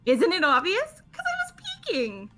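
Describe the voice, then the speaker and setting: Gleefully creepy eccentric young woman, played by a woman, sharp and very animated, theatrical game voice. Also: high voice, normal speed, faint music behind, full character voice. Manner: theatrical, teasing. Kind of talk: amateur game voice acting.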